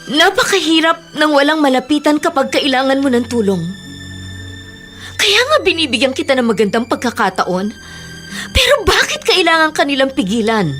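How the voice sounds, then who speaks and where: High-voiced, emphatic wronged elder sister. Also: female voice, sing-song, moderate pace, fairly bright tone, soft background music. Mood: anguished, pleading. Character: wealthy long-lost elder sister, hurt, insistent, protective. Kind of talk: Filipino radio drama acting.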